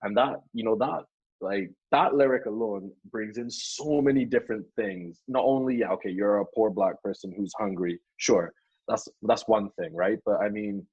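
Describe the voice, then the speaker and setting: A man's voice: on the low side, normal speed, darkish tone, breathy edge, dry recording. A young man, a podcast guest talking earnestly over a lo-fi call, often repeating words as he thinks. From Caribbean. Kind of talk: casual podcast discussion.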